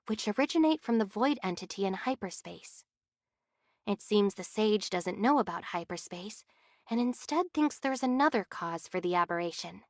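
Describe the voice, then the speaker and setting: An actress playing an earnest, protective young heroine in an anime game, with a direct, questioning manner. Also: female voice, mid-range voice, no echo, clean studio sound, close to an everyday voice.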